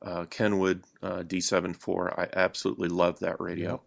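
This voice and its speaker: A man with a low, gravelly voice talks as a guest on a casual podcast. His unscripted speech is halting, with frequent pauses in the middle of sentences. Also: monotone. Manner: halting, pausing often mid-sentence. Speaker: podcast guest, radio hobbyist.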